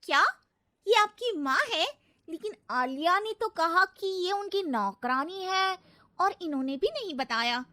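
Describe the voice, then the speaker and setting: Woman playing an excitable, bright-voiced young socialite. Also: very high voice, unhurried, voice pushed up, exaggerated voice. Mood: shocked. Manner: gushing, outspoken. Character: excitable, outspoken young city women, wife's party friends. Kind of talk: acted story dialogue.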